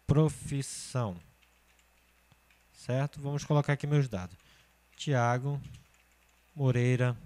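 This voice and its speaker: A man in his thirties, a computer course teacher, talking through a spreadsheet tutorial step by step and often checking in with the learner. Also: mid-range voice. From Brazil. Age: 30s. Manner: steady step-by-step explaining, often checking with "certo?".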